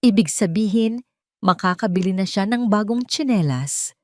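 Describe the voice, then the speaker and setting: A woman reading a romantic drama as a storyteller, in a measured and heartfelt way, close to the mic. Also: mid-range voice, animated, dry recording. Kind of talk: scripted drama narration.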